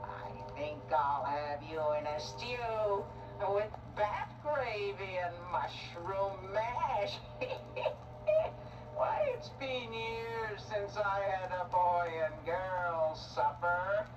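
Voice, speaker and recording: A woman's voice: medium pitch, animated, drawn-out words, distant mic, highs cut off, over music. A woman playing a scrawny, cruel, gleeful old witch in a children's story, drawing out gloating, cackling threats. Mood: menacing, gleeful. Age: older. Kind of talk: theatrical children's storybook acting.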